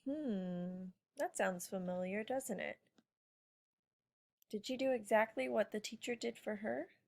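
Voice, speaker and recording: Woman teacher with a mid-pitched voice. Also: unhurried, gravelly, darkish tone. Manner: prompting with questions, child-directed. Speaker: elementary school teacher. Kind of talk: informal read-aloud lesson commentary.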